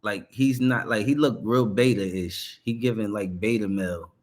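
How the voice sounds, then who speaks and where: A man's voice: lowish voice, fairly even intonation, normal speed. A man hosting a reaction show, talking in slangy casual commentary with frequent restarts and laughs.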